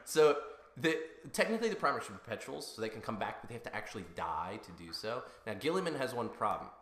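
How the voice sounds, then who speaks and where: A man's voice: mid-range voice, sing-song, fast talker, fairly bright tone. A man explaining game lore in a casual, detail-minded podcast style, recorded in a roomy amateur setup.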